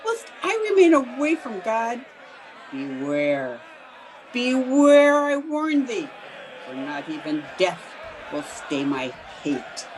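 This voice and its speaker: Casting: doomed, vengeful woman for radio horror, archaic and declamatory, big swings in pitch, some background noise. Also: wildly swooping pitch, slow pace, a faint crowd, exaggerated voice, rough recording. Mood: vengeful defiance. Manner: wailing, declamatory, archaic speech. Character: condemned woman swearing vengeful return from death. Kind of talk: old-time radio horror acting. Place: at home, remote session. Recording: home remote recording with clear background noise.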